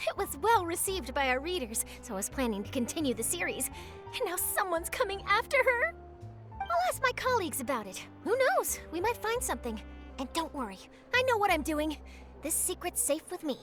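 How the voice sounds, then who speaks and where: A woman's voice: very high-pitched, fairly bright tone, heavily acted. A woman voicing a curious young female journalist in a game, chatty and persuasive but principled. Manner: chatty, coaxing, quick to explain herself. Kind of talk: game voice acting. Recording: game dialogue over music.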